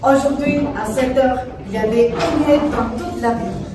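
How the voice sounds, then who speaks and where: News anchor for amateur newscast skit, played by a woman: higher voice, reverberant, clearly noisy. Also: moderate pace, natural voice. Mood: formal, halting. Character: television news anchor introducing a report. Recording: very echoey, distant mic, noisy background.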